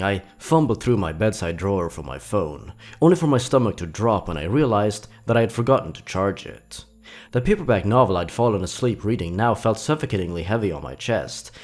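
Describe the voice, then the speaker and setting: A man's voice: on the low side, a little mellow. A man narrating scripted horror stories in a suspenseful, theatrical manner.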